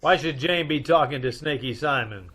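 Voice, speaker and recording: A man acts a take-charge male sleuth in old-time radio style. His voice is sharp and forceful. He questions in a brisk, businesslike manner. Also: on the high side, slight background noise. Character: brisk, in-charge male detective, newlywed poser.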